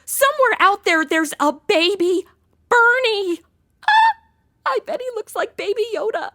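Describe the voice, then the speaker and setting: A woman's voice: extremely high pitch, sing-song, measured pace, bright, forceful tone, no room sound, studio quality, full character voice. A woman playing a gushing, blunt, slangy modern young woman in a comedy audio drama. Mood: gushing, excited.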